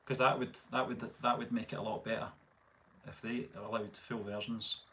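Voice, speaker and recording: A middle-aged man with a Scottish accent, hosting a casual live stream and reading out viewer comments in a relaxed, rambling way full of fillers and restarts. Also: medium pitch, normal speed. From Scotland. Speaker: channel host.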